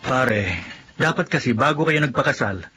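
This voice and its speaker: A man's voice: medium pitch, moderate pace, music in the background, close to an everyday voice. An actor playing a sympathetic, easygoing male friend in a Filipino radio drama.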